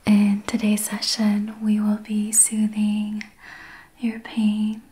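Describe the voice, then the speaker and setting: A woman speaking in a breathy whisper, gently and soothingly guiding a healing session in ASMR style. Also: mid-range voice, monotone. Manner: slow, gentle, soothing guidance. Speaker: ASMR host, Reiki practitioner.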